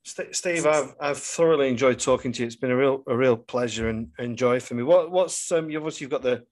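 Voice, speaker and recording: A man with a mid-pitched voice hosts a casual interview. He speaks appreciatively and a little haltingly, in a conversational style. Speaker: podcast host, interviewer.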